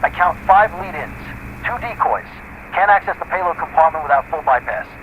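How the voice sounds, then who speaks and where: A man's voice: a little high, expressive, brisk, fairly bright tone. A man playing an anxious bomb technician in a military radio drama, technical and urgent. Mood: urgent, alarmed. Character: anxious male bomb technician assessing a complex device. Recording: tinny, noisy radio transmission.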